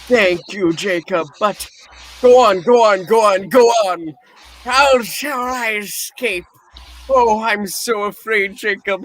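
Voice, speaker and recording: A man's voice: high voice, fairly bright tone, no echo, exaggerated voice. A man playing a frightened, pleading old miser in a comic radio play reading, with a flustered, theatrical manner.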